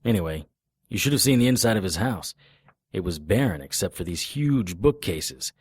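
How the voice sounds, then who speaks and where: A man playing a wry, laconic private detective in a noir audio drama, with deadpan delivery. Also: low voice, normal speed, husky, dry recording, clean studio sound. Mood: dry, confiding.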